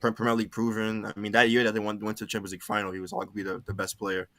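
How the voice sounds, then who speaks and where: Fast, bright-voiced male caller. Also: on the low side, completely flat delivery, no echo. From born in Cameroon, lives in the United States. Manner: eager, rambling with many fillers. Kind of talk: casual call-in chat.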